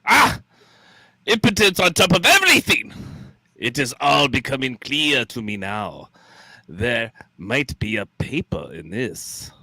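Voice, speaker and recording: A man playing a pompous, excitable psychoanalyst in a radio play, lecturing theatrically. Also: medium pitch, a little slow, no echo, heavily acted. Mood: excited, outraged. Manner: theatrical, lecturing, erratic swoops. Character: pompous, excitable old-school male psychoanalyst, a holodeck Freud. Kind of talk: radio play live read.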